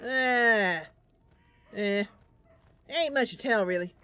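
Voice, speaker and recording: A woman's voice: a little high, takes it slowly, pushed and bright, clear, resonant tone. A woman voicing an eccentric old male herbalist in a game roleplay, rambling in a folksy, theatrical way. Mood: folksy, musing. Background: game music.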